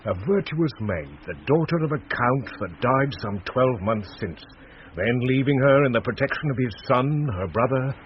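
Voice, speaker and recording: A man's voice: medium pitch, expressive, normal speed, lightly acted. An actor playing a loyal, hearty sea captain telling his news in a radio Shakespeare play. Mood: informative, gossipy. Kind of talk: 1970s BBC radio Shakespeare acting. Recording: dull, noisy vintage radio recording.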